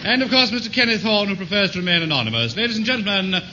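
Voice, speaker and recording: A man's voice: high voice, animated, rapid-fire. A man playing a mock-grand announcer in vintage radio comedy. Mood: mock-formal. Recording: muffled, very noisy old radio recording.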